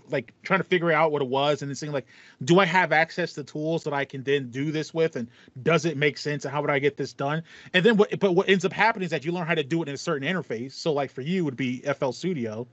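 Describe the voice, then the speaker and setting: A man's voice: on the high side, fairly bright tone, no room sound, dull audio. A young man hosting a podcast, chatting casually and acting out his reactions as he tells stories. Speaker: podcast host. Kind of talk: casual chat. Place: small home room with foam panel, indoors.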